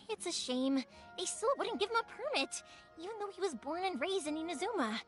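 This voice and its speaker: A kind-hearted young fireworks maker, with a high, girlish voice. She is earnest and cheerful, and her pitch moves around in an animated video game style. Music plays softly behind her. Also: normal speed. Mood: concerned. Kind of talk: video game character acting.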